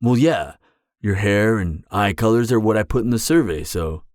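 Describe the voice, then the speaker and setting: A man's voice: lowish voice, lively intonation, normal speed, darkish tone, no echo, studio quality, close to an everyday voice. A man playing an awkward, earnest, by-the-book supervillain on a date in an audio drama, speaking in a hesitant, stammering, matter-of-fact way. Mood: placating, defensive. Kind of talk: conversational roleplay audio drama.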